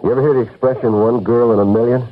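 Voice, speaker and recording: An actor playing a tough, loyal investigator in an old-time radio drama, blunt and slangy. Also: male voice, mid-range voice, steady tone, quick pace, lightly acted. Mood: earnest. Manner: blunt, slangy, world-weary. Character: tough, loyal male hard-boiled investigator. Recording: muffled old radio recording, faint music.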